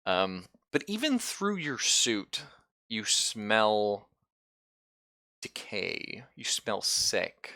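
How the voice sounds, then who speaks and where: A man running a tabletop roleplaying game, improvising his descriptions haltingly as he thinks aloud. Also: fairly low pitch, very animated, gravelly, pushed and bright, no echo, studio quality. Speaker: game master.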